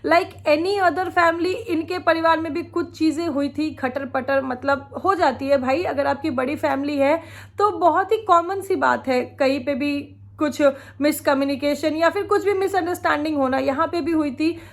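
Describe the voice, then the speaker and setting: A woman's voice: sits high, normal speed. A woman vlogger, chatting opinionatedly about other creators while she has a sore throat from a cold and laughs often. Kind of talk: casual reaction vlog commentary.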